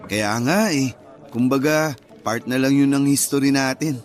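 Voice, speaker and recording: A man's voice: medium pitch, unhurried, close to an everyday voice. Man acting an easygoing, courteous young suitor. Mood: casual, agreeable. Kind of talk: Filipino radio drama dialogue.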